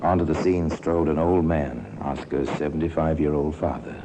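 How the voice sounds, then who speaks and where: A man narrating a 1950s radio crime drama in a grave, deliberate, ominous manner. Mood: grave, measured. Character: grave male storyteller of a true-crime tale. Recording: noisy old radio recording with rumble.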